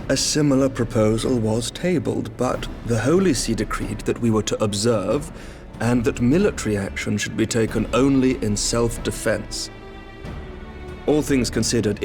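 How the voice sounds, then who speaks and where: An actor playing a shrewd, composed middle-aged knight commander who speaks formally and measuredly in archaic diction. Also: male voice, mid-range voice, normal speed, darkish tone, faint music behind, lightly acted. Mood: calm, diplomatic. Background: orchestral game score.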